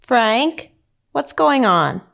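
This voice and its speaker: A woman reading the part of a shrill, scornful stepmother in a script table read. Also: very animated, extremely slow, gravelly, fairly bright tone, full character voice.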